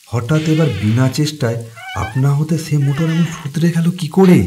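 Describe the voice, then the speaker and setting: A man's voice: lowish voice, mellow, slight background noise, close to an everyday voice. A man playing a troubled doctor who narrates a horror cartoon in a suspenseful, theatrical way. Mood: haunted, tense. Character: troubled male doctor recounting a ghostly night. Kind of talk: dramatic scripted horror narration. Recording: music behind the voice.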